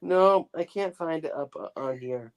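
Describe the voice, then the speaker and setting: A man's voice: fairly high pitch, a little slow. A man chatting casually and with good humor over a video call. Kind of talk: casual chat.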